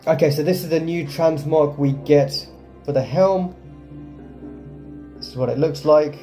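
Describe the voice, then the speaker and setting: A man's voice: fairly high pitch, a little slow, mellow, soft background music. A man hosting a gaming video with casual gameplay commentary.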